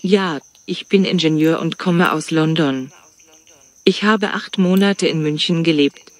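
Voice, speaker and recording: A man reading his lines in a scripted practice dialogue in a plain, steady way. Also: a little high, measured pace.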